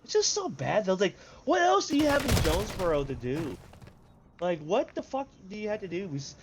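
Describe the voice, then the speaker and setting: Young american dude, voice on the higher side, swings all over, bantering, bit of noise behind him. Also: male voice, fairly quick. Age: young adult. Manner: animated, emphatic, repeats for emphasis. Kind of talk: casual chat, swearing banter. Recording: faint background noise.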